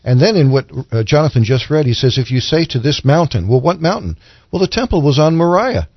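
A man's voice: a little high, big pitch swings, a little fast, no room sound. A man explaining scripture as a panel commentator, emphatic and fond of rhetorical questions. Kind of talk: explanatory Bible discussion. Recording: dull, band-limited radio audio.

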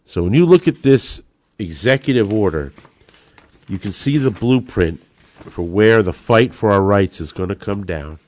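A man's voice: lowish voice, lively intonation, unhurried, darkish tone, no room sound. An American man hosting an opinionated political podcast, delivering an emphatic, combative monologue with rhetorical flourishes. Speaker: podcast host.

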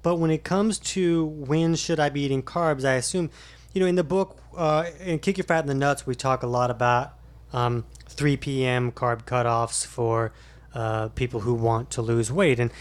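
A man's voice: mid-range voice, fairly even intonation, normal speed, clean tone. A middle-aged man co-hosting a casual podcast, explaining diet advice in an easygoing way with frequent fillers. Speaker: podcast co-host, fitness coach.

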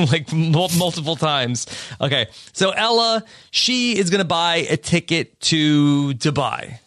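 A man's voice: fairly high pitch, sharp tone, no room sound, studio quality. A man co-hosting a casual podcast, recapping a reality show with hesitant, filler-heavy speech. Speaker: podcast co-host.

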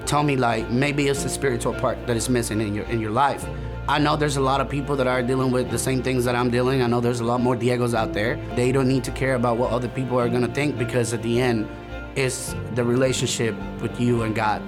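A young man from El Salvador giving an earnest, halting personal testimony with lots of fillers. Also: medium pitch, steady tone. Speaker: church member giving testimony. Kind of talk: personal testimony, recorded interview. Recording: music bed under voice.

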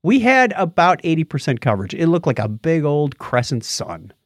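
A man's voice: a little high, wildly swooping pitch, moderate pace, dry recording, studio quality. An American man co-hosting a podcast, chatting casually and explaining things in an anecdotal, conversational way. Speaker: podcast host.

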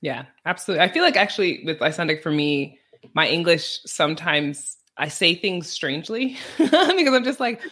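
Low-voiced chatty woman interviewer. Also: animated, moderate pace, a bit bright, husky. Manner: friendly, chatty, frequent laughs and backchannels. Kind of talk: casual interview conversation.

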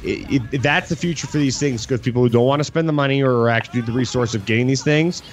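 A man's voice: mid-range voice, fast talker. A man co-hosting a casual live talk show, speaking in an opinionated, matter-of-fact way.

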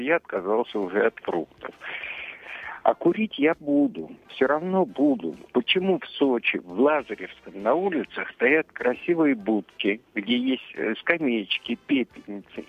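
A man's voice: medium pitch, huge pitch swings, moderate pace, creaky, husky, dry recording. A man in his late seventies calling in to a radio show, arguing emphatically. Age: 70s. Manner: emphatic, argumentative. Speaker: caller. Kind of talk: call-in radio. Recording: tinny dry phone line.

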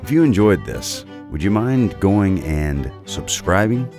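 Very deep gravelly-voiced man, unhurried reading. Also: sing-song, over music. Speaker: podcast host and reader. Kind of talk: slow, measured poetry reading.

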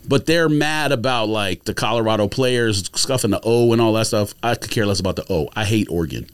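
A man's voice: on the low side, fairly quick, clean tone. A man in a casual, opinionated sports podcast debate, speaking emphatically and argumentatively with plenty of slang.

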